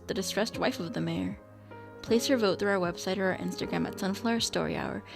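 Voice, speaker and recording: A woman's voice: mid-range voice, vocal fry. A woman narrating a scripted radio drama.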